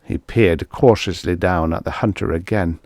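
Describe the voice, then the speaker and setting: A man's voice: deep voice, normal speed, a little mellow, dry recording, clean studio sound. A man reading a scripted story as an audiobook narrator.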